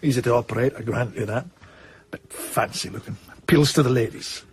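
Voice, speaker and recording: An actor playing a blunt but respectful military armourer in a radio drama, terse and matter-of-fact, with a husky voice. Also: male voice, mid-range voice, fairly quick.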